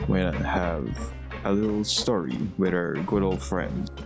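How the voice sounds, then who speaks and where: Low voiced guy doing gameplay commentary, reading stuff off screen, music behind him. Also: lively intonation, measured pace, creaky. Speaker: gaming video host. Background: game music.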